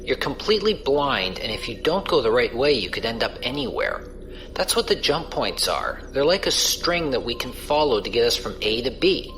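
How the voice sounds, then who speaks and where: A man's voice: mid-range voice, sing-song, moderate pace, fairly bright tone, faint music behind, poor audio. A man playing a casual, wry space pirate crewman who explains things colloquially in a science fiction audio drama. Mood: casual, explanatory. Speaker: voice actor.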